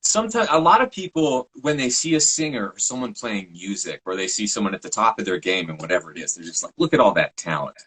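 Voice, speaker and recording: A man with a low voice, speaking as a guest in a relaxed interview, reflective and with trained projection. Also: fast talker, few pauses, breathy edge, poor audio. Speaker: podcast host and guest. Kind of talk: casual interview talk.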